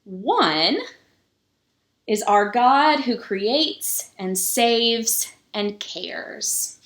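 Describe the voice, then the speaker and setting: Lady pastor doing kids story time, slow reading, simple explanations, kinda echoey room. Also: fairly high pitch, fairly bright tone. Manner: addresses young children, explaining simply as she reads. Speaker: pastor reading a children's book. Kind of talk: children's story time read-aloud. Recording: roomy, echoing sound.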